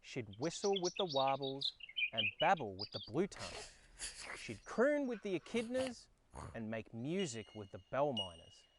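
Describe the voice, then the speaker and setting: An Australian man narrating a children's storybook in a dramatic, expressive way. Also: medium pitch, unhurried. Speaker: storyteller and host. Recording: background ambience and effects noise. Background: sound effects and ambience.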